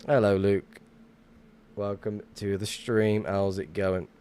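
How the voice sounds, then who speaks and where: A man's voice: on the low side, little pitch movement, slow pace, darkish tone, smooth. A young man chatting casually while livestreaming a game, rambling and swearing now and then as he greets viewers. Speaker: livestream gamer. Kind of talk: casual live-stream chat while gaming.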